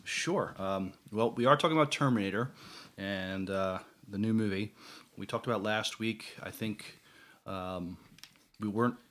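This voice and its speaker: A man co-hosting a casual fan podcast, talking in a halting, self-mocking, geeky way. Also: lowish voice, a bit bright. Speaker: podcast co-host.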